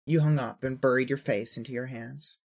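A narrator reading scripted second-person fiction in an earnest, emotive storytelling manner, with a husky voice. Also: medium pitch, fairly even intonation, fairly quick, darkish tone.

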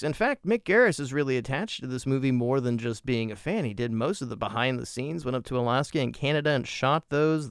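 A man hosting a podcast, giving casual solo film commentary with emphatic, drawn-out phrasing and a gravelly voice. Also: mid-range voice, no echo, studio quality. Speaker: podcast host.

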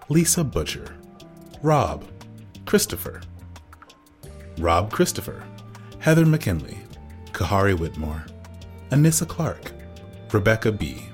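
A man reading scripted end credits as an announcer. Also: low-pitched.

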